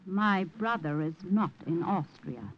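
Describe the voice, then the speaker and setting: A woman's voice: on the low side, a little slow. An actress playing a guarded, nervous young Austrian woman in a 1950s radio drama. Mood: guarded, curt. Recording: muffled old radio broadcast.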